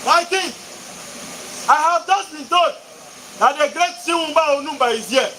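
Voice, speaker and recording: A man's voice: extremely high pitch, normal speed. A Nigerian man acting in a stage play as a proud, argumentative elder at a king's court, declaiming emphatically and repeating himself. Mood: earnest, deferential. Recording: noisy live stage recording.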